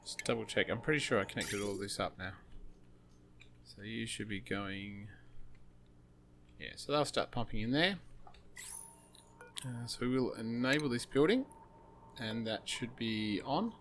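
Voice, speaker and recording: A slightly hoarse man hosting a gaming channel, thinking aloud with many fillers as he plays. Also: on the low side, animated, unhurried, pauses often, fairly bright tone, slight background noise. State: slightly hoarse, losing his voice. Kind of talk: casual gameplay commentary.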